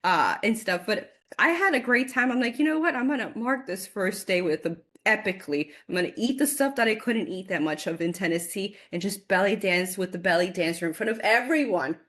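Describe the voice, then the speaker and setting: Animated, chatty woman in her forties. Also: medium pitch, brisk, hardly any pauses. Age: 40s. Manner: animated, laughs often, repeats words when excited. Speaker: guest. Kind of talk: casual interview chat.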